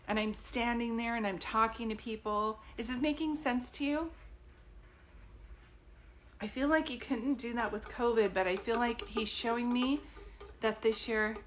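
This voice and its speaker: Chatty higher-voiced woman giving readings. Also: slight background noise. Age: middle-aged. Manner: chatty, thinks aloud, stops to restart words. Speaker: psychic medium. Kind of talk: live-streamed reading, casual chat.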